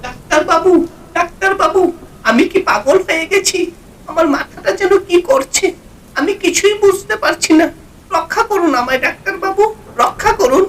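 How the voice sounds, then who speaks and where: A woman's voice: extremely high pitch, expressive, normal speed, a little hiss or hum, rough recording. A woman voicing a frightened, bewildered village man in a horror cartoon, pleading and repeating himself. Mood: terrified, pleading. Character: panicked, bewildered village man, secretly a ghost. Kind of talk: cartoon horror story acting.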